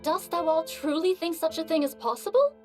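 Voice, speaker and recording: A woman's voice: very high-pitched, lively intonation, a little fast, faint music behind. A voice actress playing a noble female warrior who talks in an old-fashioned, courtly way. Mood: reflective.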